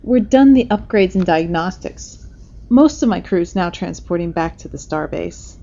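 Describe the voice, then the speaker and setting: A woman's voice: fairly low pitch, expressive, normal speed, vocal fry, warm tone. A woman playing a matter-of-fact starship maintenance crew member in an audio drama. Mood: businesslike. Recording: dull, narrow-band sound.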